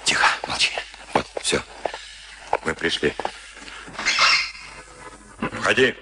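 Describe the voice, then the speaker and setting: An actor playing a gruff prison camp orderly, curt and urgent, servile to his officer, in a radio play. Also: extremely low pitch, expressive, slow pace, heavily acted. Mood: urgent, confiding. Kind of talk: Soviet radio play acting. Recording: noisy archive radio recording.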